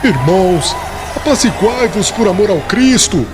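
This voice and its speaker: Guy acting an earnest apostle calling out high and pleading, audiobook drama w music behind. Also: expressive, a little slow. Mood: urgent pleading. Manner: loud, imploring, exhorting.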